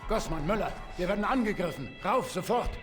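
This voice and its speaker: A man's voice: high voice, animated, fairly quick, in a large hall, soft background music. A man playing a harsh German soldier in a war video game, barking urgent orders. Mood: alarmed. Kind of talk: video game cutscene acting.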